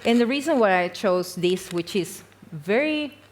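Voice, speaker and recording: A woman's voice: mid-range voice, lively intonation, unhurried, slight background noise. Chatty woman at a conference. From Peru. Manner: chatty, self-deprecating, with frequent fillers and laughs. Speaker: conference speaker. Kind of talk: conference talk. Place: conference hall.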